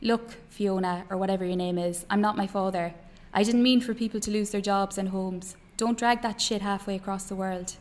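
A woman's voice: mid-range voice, steady tone, moderate pace, hardly any pauses, darkish tone, close to an everyday voice. A woman reading aloud from a novel as a defensive, curt young Irish man who works as a labourer. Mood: irritated, defensive.

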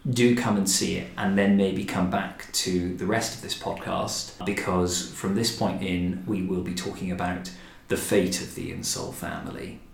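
Deep male podcast host, measured and descriptive, echoey room. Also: level tone, gravelly, a little hiss or hum. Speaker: podcast host, director of a historic house. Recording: roomy, echoing indoor sound.

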